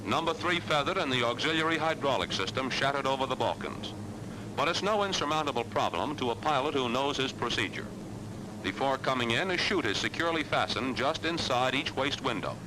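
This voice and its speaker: A man's voice: medium pitch, lively intonation, fairly quick. American man narrating over noisy old film soundtrack. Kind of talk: 1940s military training film narration, scripted.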